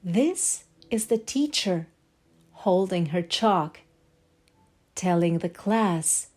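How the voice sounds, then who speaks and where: Slow-paced woman reading for children, over music. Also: lowish voice, lively intonation, a little mellow. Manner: deliberate, addressing young listeners with questions. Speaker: storybook reader and language assistant. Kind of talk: expressive children's story read-aloud. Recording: background music track. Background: instrumental music backing.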